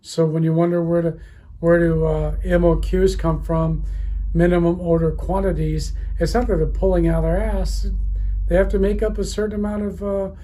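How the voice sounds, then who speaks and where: A man's voice: sits high, little pitch movement, normal speed, mellow. A man in his fifties talking casually off the cuff about his trade, blunt and informal, recorded indoors from a camera a short way off. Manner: blunt, informal, occasionally swearing. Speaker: speaker manufacturer presenting a video. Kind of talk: casual off-the-cuff industry talk. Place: indoor audio room with wood-block wall. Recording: clearly noisy room ambience.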